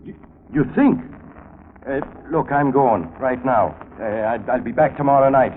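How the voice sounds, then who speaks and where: An actor playing a nervous, blustering cemetery worker in a 1940s radio mystery, agitated and stammering. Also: male voice, medium pitch, unhurried, natural voice. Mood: spooked. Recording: old 1940s radio broadcast, tinny, faint noise.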